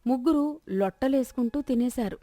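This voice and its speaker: Female storyteller for moral tales, scripted narration, mellow tone, dry close sound. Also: high voice, fairly quick, no room sound.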